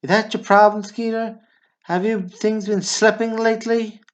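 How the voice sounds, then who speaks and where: A man's voice: high-pitched, flat delivery, moderate pace, natural voice. An actor playing a belligerent small-town tough guy who needles people, in an amateur radio play. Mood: taunting. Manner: taunting, needling. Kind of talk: amateur radio drama acting. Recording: dull lo-fi radio drama recording.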